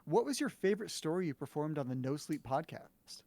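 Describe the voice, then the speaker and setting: A livestream co-host of indeterminate sex chatting casually with deadpan, teasing jokes. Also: medium pitch, a little fast, creaky, no echo. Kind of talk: casual chat.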